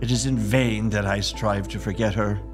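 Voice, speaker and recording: An actor playing a grieving young English gentleman in a Victorian audio drama, speaking earnestly and formally. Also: fairly low pitch, steady tone, normal speed, music in the background, lightly acted. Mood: mournful.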